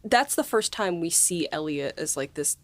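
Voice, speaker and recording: A woman co-hosting a podcast in casual conversation, animated and acting out bits of dialogue as she talks. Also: lowish voice, moderate pace. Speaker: podcast co-host and writer.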